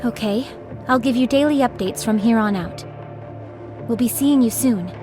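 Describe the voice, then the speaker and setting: An actress playing a composed, weary young female squad leader in a video game, speaking in a measured, formal way. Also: on the high side, close to an everyday voice. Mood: businesslike. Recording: background music under voice.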